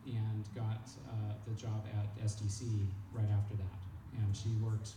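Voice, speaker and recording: A man's voice: on the low side, flat delivery, measured pace. A man from California, reminiscing informally and hesitantly, searching for his words. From California, United States. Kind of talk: informal reminiscing, answering questions. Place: echoey meeting room. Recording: echoey, far mic, noisy room.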